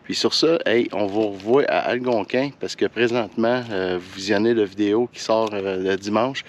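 A man with a Quebec French accent talks casually to his camera out on a trail. His voice sits somewhat low, and his delivery is relaxed, informal and a little hesitant. Light outdoor ambient noise sits behind him. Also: moderate pace. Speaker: outdoor hiking vlogger. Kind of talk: casual vlog chat to camera. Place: outdoors on a forest hiking trail and mountain summit.

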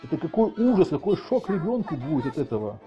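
A middle-aged man with a husky voice doing a mock-outraged comic rant, halting and repeating words as he exclaims. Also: fairly high pitch, big pitch swings, dark tone. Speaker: video channel host. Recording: dull lo-fi audio, faint music behind.

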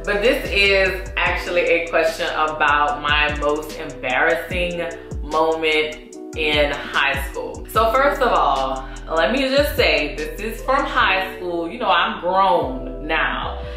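A chatty woman, talking to her viewers and calling them y'all. Her voice sits a little low and rings around a very echoey room. Clear music plays underneath. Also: slow pace. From Louisiana. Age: 30s. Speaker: beauty vlogger. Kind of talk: casual chat while doing makeup. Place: small indoor room against a plain wall.

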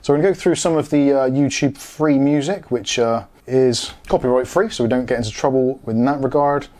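A man's voice: medium pitch, a little fast, a little mellow. A man in his forties explaining a product in a matter-of-fact way, in a casual hands-on review. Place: small home studio room.

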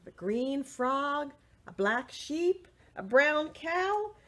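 Female storyteller, middle-aged, for children's read-aloud. Highish, swooping pitch, expressive delivery, deliberate phrasing. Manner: expressive, slow and deliberate, with short asides to the listeners. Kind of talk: picture-book read-aloud for young children. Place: indoors, small room with bookshelves.

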